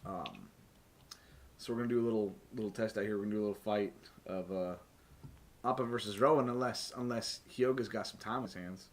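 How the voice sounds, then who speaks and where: Low-voiced man chatting casually on stream. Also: brisk. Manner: profane, joking, laughs often. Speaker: game master and stream host. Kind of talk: casual chat explaining a tabletop game stream test.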